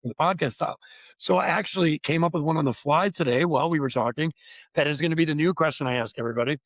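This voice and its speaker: A man's voice: on the high side, fast, no echo, rough recording. Enthusiastic man hosting. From Upper Peninsula of Michigan, USA. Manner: enthusiastic, effusive, rambling. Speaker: podcast host. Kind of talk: casual interview chat.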